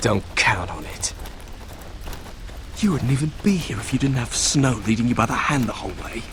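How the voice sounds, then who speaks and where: An actor playing a bitter, abrasive young recruit of a fantasy night watch in a video game, quick to swear and accuse. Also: male voice, medium pitch, lively intonation, noisy background, lightly acted. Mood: resentful.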